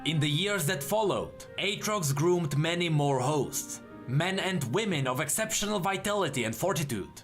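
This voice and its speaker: A man narrating fantasy lore as scripted, dramatic storytelling, with explanatory asides. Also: fairly high pitch.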